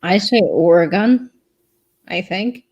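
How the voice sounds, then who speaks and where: A woman's voice: lowish voice, lively intonation, slow, a little mellow, lo-fi sound. A woman co-hosting a casual livestream chat and reading out viewer comments in an easygoing, enthusiastic way.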